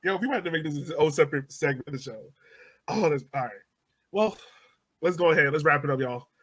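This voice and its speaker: A man's voice: fairly high pitch, quick pace, a bit bright, no echo. A man hosting a casual sports podcast, outgoing and given to repeating his short phrases. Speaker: podcast host.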